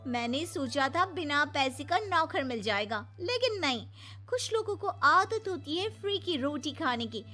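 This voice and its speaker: Whiny spiteful cartoon girlfriend, squeaky high, swoopy delivery, slowish. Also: lively intonation, bright, forceful tone, soft background music, exaggerated voice. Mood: furious, spiteful. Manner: whiny, theatrical, demanding. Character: spoiled, whining, spiteful young girlfriend. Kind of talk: cartoon dialogue.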